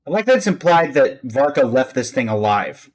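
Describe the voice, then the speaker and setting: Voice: mid-range voice, sing-song, normal speed. A game streamer giving casual, wry and offhand reaction commentary.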